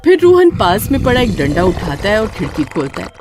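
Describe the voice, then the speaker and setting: A woman narrating a horror story in an expressive storytelling manner. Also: mid-range voice, brisk, soft background music.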